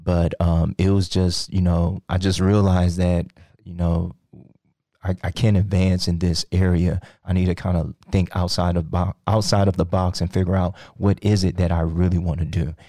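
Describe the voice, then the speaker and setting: Deep mellow guy, kinda flat, lots of ums, talking in a studio. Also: normal speed, no room sound. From Nigeria, raised in Texas. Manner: hesitant, many ums and restarts. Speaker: guest, crypto trader. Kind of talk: podcast interview. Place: podcast studio.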